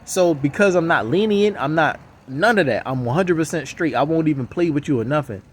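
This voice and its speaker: A man's voice: a little high, expressive, brisk. A man with a slightly raised voice rambles on insistently in a casual vlog, circling back to the same points again and again. He is recorded outdoors on a city street, with faint traffic noise underneath. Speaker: vlogger. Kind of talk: casual rambling monologue to camera.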